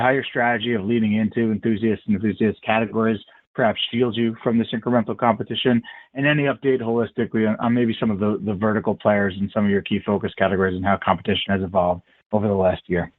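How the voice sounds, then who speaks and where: Fast-talking low-voiced man on phone. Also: fairly even intonation, dry recording. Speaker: financial analyst asking a question. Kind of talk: prepared earnings call question. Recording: dry tinny phone line.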